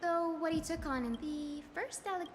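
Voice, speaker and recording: A woman voicing an earnest, expressive young female student in a video game. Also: extremely high pitch, normal speed, slight background noise. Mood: chatty, conspiratorial. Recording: reverberant, loud game music behind. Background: game music.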